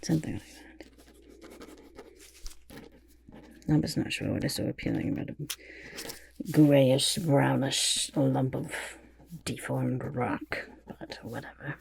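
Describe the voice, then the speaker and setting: A woman voicing a vain, excitable goblin artist in an ASMR roleplay, often whispering, with a gravelly creak and pompous drawn-out words. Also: extremely low pitch, heavily acted. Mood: boastful. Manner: third-person self-praise, pompous drawn-out words.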